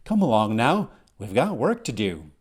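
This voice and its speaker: A man's voice: mid-range voice, big pitch swings, moderate pace, husky. A pompous old magical amulet voiced by a male storyteller lectures in formal, old-fashioned phrases. Its delivery swings up and down with affronted dignity, in a storybook manner. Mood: unhurried. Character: Mim, elderly pompous talking amulet, easily affronted.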